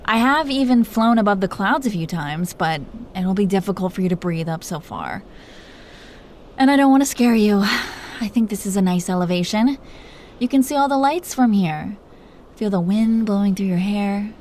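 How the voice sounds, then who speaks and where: A woman's voice: medium pitch, expressive, normal speed, darkish tone, natural voice. Woman playing a tender, teasing dragon queen, ambient noise. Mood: protective, encouraging. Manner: tender, playfully teasing, breathy whispers at times. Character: protective, teasing, doting dragon queen lover. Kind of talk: intimate roleplay audio, soft acting.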